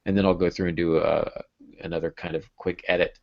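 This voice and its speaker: Low-voiced American man, dull call audio. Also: little pitch movement, brisk, creaky, no room sound. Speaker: podcast host and novelist. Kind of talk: casual conversational podcast chat. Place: small home room with bookshelf. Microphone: earbud headset on video call.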